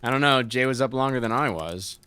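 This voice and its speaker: A man plays a wisecracking young tinkerer and rebel in an audio drama. His delivery is animated and breezy, in a mid-pitched voice with plenty of cocky bounce. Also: fairly quick, clear, resonant tone, no room sound. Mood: casual.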